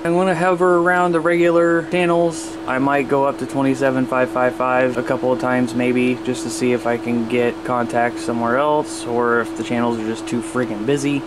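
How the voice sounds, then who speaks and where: Man vlogging casually over clear background noise. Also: mid-range voice. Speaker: hobbyist video host. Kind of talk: casual vlog chat to viewers.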